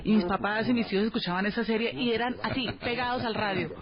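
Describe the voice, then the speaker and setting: A woman's voice: on the high side, fast, a crowd in the background. Colombian woman on dull-sounding busy radio. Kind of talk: casual radio reminiscing.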